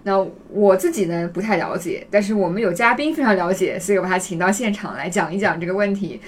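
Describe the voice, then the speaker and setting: A woman's voice: mid-range voice, animated, fast, a little hiss or hum. A woman hosting a casual podcast, introducing things in a conversational way. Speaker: podcast host.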